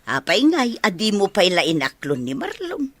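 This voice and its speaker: A woman's voice: mid-range voice, wildly swooping pitch, measured pace, a bit bright, no echo, exaggerated voice. An actress playing a nosy, coaxing older village mother in a radio drama, drawing out her interjections. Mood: teasing.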